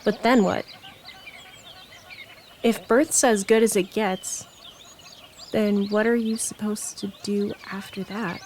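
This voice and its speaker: A woman recites reflective poetry in a mid-range voice. Her phrasing is measured and contemplative. Light nature ambience with birdsong sits behind her. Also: mid-range voice, steady tone, a little mellow, slight background noise. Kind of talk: reflective spoken poetry reading. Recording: noticeable outdoor ambience with birdsong.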